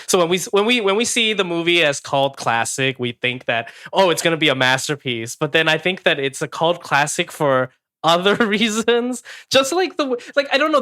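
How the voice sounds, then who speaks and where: Giggly man from Thailand, bright dry voice. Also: medium pitch, smooth, dry recording. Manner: giggly, self-correcting restarts. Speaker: podcast co-host. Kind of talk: casual chat.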